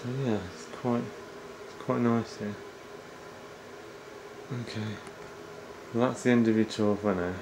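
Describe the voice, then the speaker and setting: A middle-aged man giving a casual, low-key, off-the-cuff house tour as he walks around indoors with a handheld camera. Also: steady tone, measured pace, long pauses. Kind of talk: casual off-the-cuff narration while filming. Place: indoors, walking through rooms of a house. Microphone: handheld camera at arm's length. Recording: noisy handheld camera audio.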